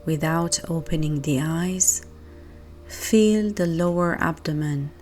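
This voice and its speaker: A woman guiding a meditation in a calm, measured, gentle manner with long pauses between short phrases. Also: deep voice, faint music behind. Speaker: meditation guide. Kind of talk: guided meditation narration.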